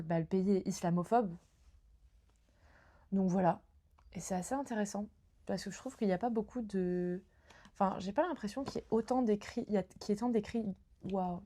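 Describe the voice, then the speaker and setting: A woman's voice: mid-range voice, dark tone. Young woman streamer, casual book chat, rambling with fillers. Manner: chatty, lots of fillers and restarts. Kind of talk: casual chat about books.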